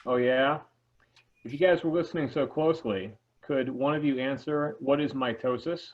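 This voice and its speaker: A man playing an exasperated but game high school teacher in an amateur script read over a video call. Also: moderate pace, close to an everyday voice. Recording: tinny phone-like video call.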